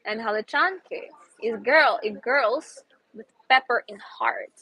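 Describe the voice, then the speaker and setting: A woman's voice: on the high side, measured pace, bright, forceful tone, husky, poor audio. A young woman with a Ukrainian accent hosting a casual outdoor live stream, chatty and upbeat, sometimes searching for English words. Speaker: host, English teacher. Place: outdoors in a city square.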